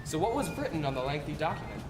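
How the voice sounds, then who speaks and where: A man's voice: on the high side, very animated, quick pace, loud music behind the voice. A man playing an eager, slangy news reporter doing a mock live report and interview. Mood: excited, pushy. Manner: slangy, informal. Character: young male news field reporter, eager, casual, pushy.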